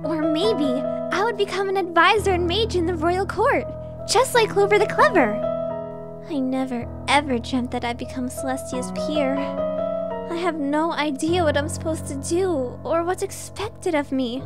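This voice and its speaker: A woman voicing an earnest, anxious young pony princess in an animated comic dub, with emotional delivery. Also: very high voice, measured pace, loud music behind the voice. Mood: wistful, anxious.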